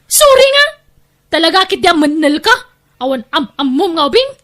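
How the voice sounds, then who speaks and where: A woman's voice: extremely high pitch, big pitch swings, normal speed, fairly bright tone, exaggerated voice. A woman playing an ill-tempered, self-pitying wife and grandmother in a melodramatic audio drama, nagging and complaining. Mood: stern scolding.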